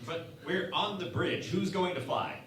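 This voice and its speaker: A man's voice: sits high, steady tone, unhurried. A man as an incredulous hologram crewman, very echoey. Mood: baffled. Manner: incredulous, questioning, sarcastic. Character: humanlike male hologram crewman, curious, mildly sarcastic. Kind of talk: parody sci-fi radio play acting. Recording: very echoey, distant mic, noisy.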